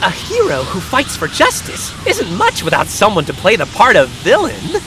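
A woman's voice: mid-range voice, wildly swooping pitch, normal speed, over music, low-quality recording. A woman voicing a sly, smug, theatrical schemer with a teasing manner in an anime game cutscene. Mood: smug, playful.

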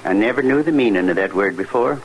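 Earnest older frontier homesteader. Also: male voice, fairly low pitch, animated, fast. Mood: happy, grateful. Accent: rural American. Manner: folksy, earnest. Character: contented, plain-spoken older male homesteader, a widower. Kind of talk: 1950s radio western acting. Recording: noisy vintage 1950s radio recording.

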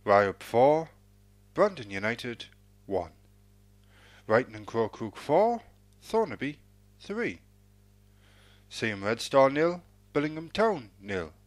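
Slow, lilting male results announcer. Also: medium pitch, sing-song, no room sound. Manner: measured, formulaic sing-song cadence. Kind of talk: football results read-out.

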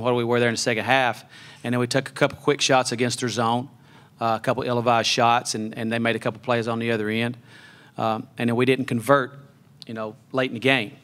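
Fast-talking middle-aged coach, flat delivery. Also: male voice, mid-range voice. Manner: measured, many fillers and restarts. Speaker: head basketball coach. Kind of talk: postgame press conference. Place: press conference room. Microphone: table mic on a stand.

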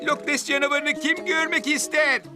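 Actor voicing needy ticket-seller creature, dramatic, excitable, whiny, children's cartoon, music behind. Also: high voice, normal speed, natural voice. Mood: excited. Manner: dramatic, laughing and lamenting. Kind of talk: children's cartoon dub acting.

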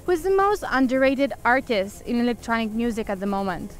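A woman with a slightly high voice asks brief interview questions. Faint music runs behind her as she moves through them one at a time. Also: sing-song, brisk. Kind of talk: reading short interview questions.

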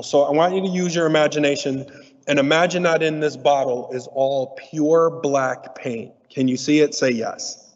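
Playful male speaker in a big hall. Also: mid-range voice. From Detroit, USA. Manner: animated, playful, talks to the audience. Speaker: keynote speaker. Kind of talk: storytelling keynote talk. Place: large auditorium stage. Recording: dull sound with long hall reverb.

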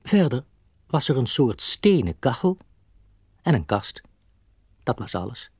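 A man narrating a scripted children's story as an expressive storyteller. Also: medium pitch, unhurried, husky, no room sound, studio quality.

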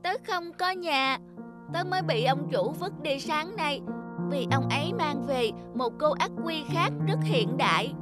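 High-pitched, childlike cartoon object. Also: female voice, measured pace, over loud music. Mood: earnest. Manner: earnest, expressive, childlike. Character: childlike talking cup and flashlight, eager, easily frightened young friends. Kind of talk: dubbed children's cartoon dialogue.

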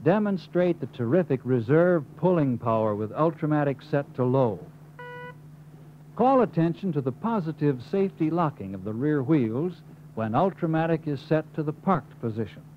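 A man's voice: fairly high pitch, lively intonation, normal speed. An American man reading scripted instructional narration in an emphatic manner, as the narrator of a training film. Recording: muffled, hissy 1950s film soundtrack.

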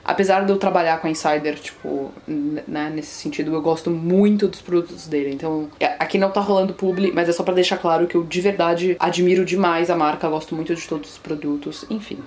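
Young são paulo woman w/ a low voice, slangy casual vlog chat at home, bit of noise behind her. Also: fairly even intonation, a little fast, few pauses, darkish tone. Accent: São Paulo Brazilian. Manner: informal, slangy, self-deprecating. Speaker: vlogger. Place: small rooms at home, indoors.

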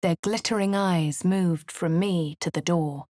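A woman's voice: lowish voice, unhurried, mellow, no room sound, clean studio sound, lightly acted. Woman playing a posh composed adventurer. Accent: posh English. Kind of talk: game character voice lines.

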